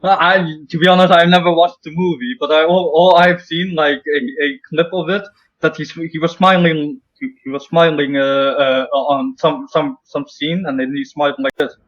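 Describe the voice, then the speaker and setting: A man in a casual online chat, speaking haltingly and often stammering over repeated words, on dull call audio. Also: a little high, level tone, fairly bright tone. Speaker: video co-host.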